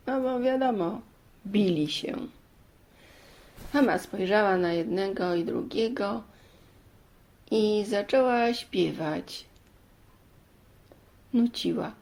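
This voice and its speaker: Female storyteller for children's fairy tales, very unhurried, mid-pitched, breaking sentences into short phrases. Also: gravelly, a little mellow. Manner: deliberate, pausing between short phrases. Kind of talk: children's fairy-tale narration.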